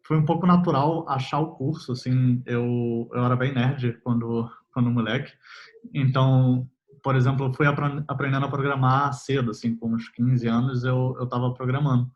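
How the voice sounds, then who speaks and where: A young man, a software developer talking casually in an interview, relaxed and full of fillers, laughing easily. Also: mid-range voice, normal speed. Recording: slightly muffled audio.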